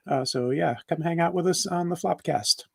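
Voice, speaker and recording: A man with a somewhat high-pitched voice. He talks casually, as a podcaster taking part in a panel. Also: normal speed, gravelly, a little mellow, breathy edge, no echo. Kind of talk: casual chat.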